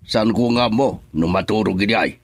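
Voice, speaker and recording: A man's voice: lowish voice, normal speed, dry recording, clean studio sound. An actor playing a stern, troubled middle-aged father in Ilocano radio drama, with a Filipino accent. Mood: stern, upset.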